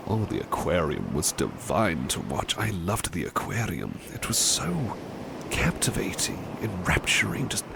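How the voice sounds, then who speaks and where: A devoted, polite butler, played by a man, speaks to the listener in an ASMR roleplay. His voice is lowish and creaky, with very lively intonation. He gushes with curious delight in genteel, halting phrases. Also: background noise, heavily acted. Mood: enchanted, fond. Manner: genteel, effusive, halting with repeated words.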